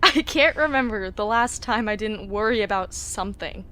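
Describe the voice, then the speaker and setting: A woman's voice: mid-range voice, animated, moderate pace, bright, forceful tone. A young woman playing a chatty, self-assured teenage girl in an audio drama, with a perky, teasing manner. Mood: confiding. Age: young adult.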